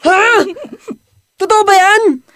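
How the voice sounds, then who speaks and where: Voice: very high-pitched, very slow, fairly bright tone, dry recording, studio quality, exaggerated voice. A performer in an amateur radio drama playing a brash young friend with a campy, exclamatory manner. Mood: alarmed, concerned.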